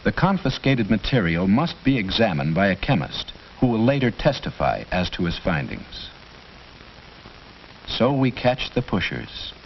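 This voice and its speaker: A man narrating a 1950s police training film in a formal, measured, authoritative way. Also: on the low side, very animated. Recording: dull old film soundtrack with loud hiss.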